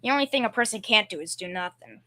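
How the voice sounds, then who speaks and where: A woman playing a good-natured, plain-spoken middle-aged father in an amateur old-time radio play, with a halting, folksy delivery. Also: medium pitch, animated, bright, forceful tone. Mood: earnest. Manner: folksy, halting, repeats words. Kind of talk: old-time radio comedy-drama acting, amateur school performance.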